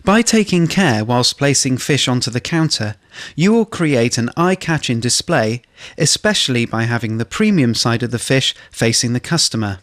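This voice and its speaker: A man's voice: mid-range voice, expressive, a bit bright. Man narrating a corporate training film. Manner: measured, instructional delivery. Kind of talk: scripted instructional narration.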